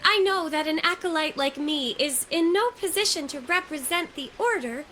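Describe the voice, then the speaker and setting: A woman voicing a gentle, earnest young female acolyte in a video game, speaking formally and courteously. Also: sits high, lively intonation, moderate pace, slight background noise. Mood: earnest, apologetic. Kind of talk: anime-style video game voice acting.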